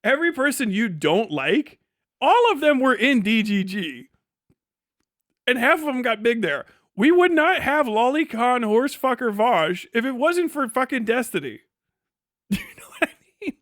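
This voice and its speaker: A man's voice: extremely high pitch, very animated, measured pace, fairly bright tone, no room sound, studio quality. A man doing casual livestream commentary, ranting in a profane, sarcastic and exasperated way. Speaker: livestream host reacting to other streamers.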